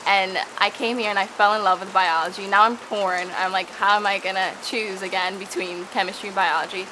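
A young woman from the Dominican Republic, a student researcher, talking eagerly to camera in a casual interview outdoors among tropical plants. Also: medium pitch. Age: young adult. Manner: eager, bubbly, quick restarts. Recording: steady rain noise behind.

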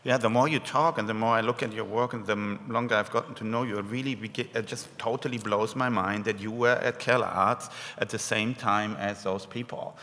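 A man's voice: on the low side, steady tone, a little fast, few pauses, pushed and bright. A man curator asking questions at a public talk, hedging with lots of fillers. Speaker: curator and interviewer. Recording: echoey hall.

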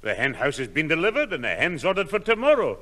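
A man's voice: fairly high pitch, wildly swooping pitch, fast, sharp tone, heavily acted. An actor playing a grumpy, stubborn old grandfather in a radio comedy. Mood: indignant. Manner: gruff, complaining. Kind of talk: 1960s radio comedy acting.

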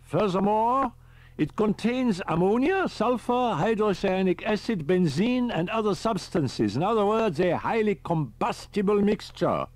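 A man voicing an excitable, precise male professor in an audiobook, speaking formally and deliberately. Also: high voice, pitched above the performer's own voice. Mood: intent.

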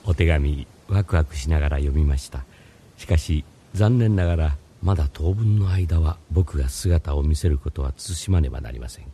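A man's voice: very deep voice, normal speed, dark tone, a little hiss or hum. A man playing a mysterious, courteous philosophy teacher, narrating in a scripted radio drama. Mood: courteous, secretive.

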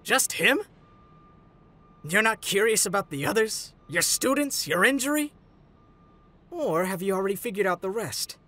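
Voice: mid-range voice, animated, normal speed, pauses often, sharp tone. An actor voicing a glib, unruffled, teasing young trickster in a comic dub. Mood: teasing, smug. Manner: breezy, glib, conversational. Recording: music behind.

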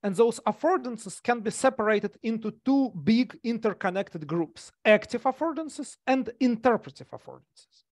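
A man's voice: very high-pitched, animated, moderate pace, darkish tone, no room sound, clean studio sound. A man, giving an academic talk over a video call on a headset mic, hesitant with many fillers and restarts. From Russia. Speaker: conference presenter. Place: small room indoors. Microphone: gaming headset.

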